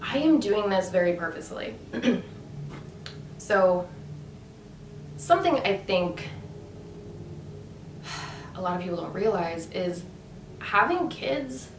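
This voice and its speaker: A woman's voice: medium pitch, animated, takes it slowly, pauses often, roomy sound, distant mic, a little hiss or hum, poor audio. A woman in her thirties talking earnestly and emphatically in a casual monologue, with many fillers. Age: 30s. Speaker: vlogger.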